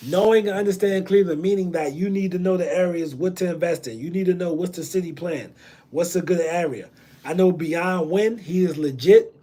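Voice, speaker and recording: Casual slangy man, slightly high voice. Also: a little fast, dark tone. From New Jersey. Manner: loose, slangy, repeats himself with many ums. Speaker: real estate investor hosting a livestream. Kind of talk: casual livestream Q&A.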